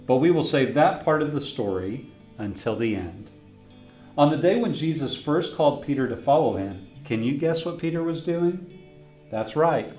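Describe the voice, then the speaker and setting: A man's voice: on the high side, expressive, normal speed, darkish tone. Man reading a children's story. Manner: friendly storyteller who asks young listeners questions. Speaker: professor reading his own children's book. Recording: roomy echo with faint guitar music.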